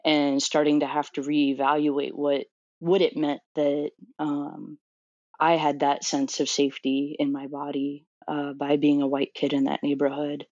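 A woman's voice: low voice, completely flat delivery, unhurried, darkish tone, no echo, highs cut off. A woman in her thirties from Florida, a doctoral student answering interview questions thoughtfully, with many fillers and restarts. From Florida, USA.

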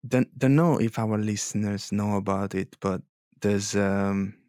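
A man with an Italian accent, co-hosting a news podcast in a halting way, with many restarts and fillers. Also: fairly low pitch, steady tone, a little mellow, no echo, studio quality. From Italy. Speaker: podcast co-host. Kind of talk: casual policy news chat.

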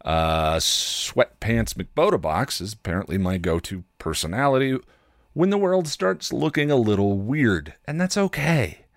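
A man hosting a podcast with a candid personal monologue, reflective and halting, with wry, self-deprecating delivery. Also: lowish voice, sing-song, no echo, studio quality. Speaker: podcast host.

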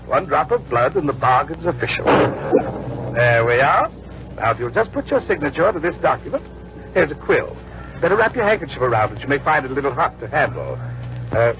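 The Devil of an old radio play, a sly tempter who coaxes and mocks with silky manners. His voice creaks and swings freely in pitch. The broadcast sounds muffled with age. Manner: mocking, coaxing, unhurried politeness. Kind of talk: 1940s radio acting.

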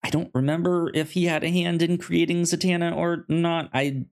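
A man co-hosting a podcast in casual chat about comics, speaking haltingly with stammered, repeated syllables and words. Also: a little high, flat delivery, a little mellow. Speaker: podcast co-host.

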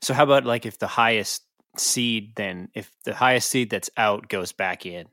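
A man's voice: lowish voice, normal speed, pushed and bright, no room sound, clean studio sound. A man co-hosting a podcast in casual sports discussion, talking in a conversational, argumentative way. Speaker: podcast co-host.

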